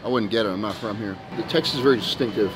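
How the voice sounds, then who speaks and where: A young man joking around on a grocery run in a big store, relaxed and casually swearing. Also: lowish voice, racing, background noise. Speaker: visiting bodybuilder. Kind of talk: casual chat while shopping. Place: large indoor grocery store.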